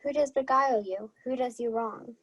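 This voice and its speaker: A woman's voice: fairly high pitch, normal speed, a bit bright, breathy edge. A young woman playing an earnest, devoted young page in a Shakespeare radio play. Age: young adult.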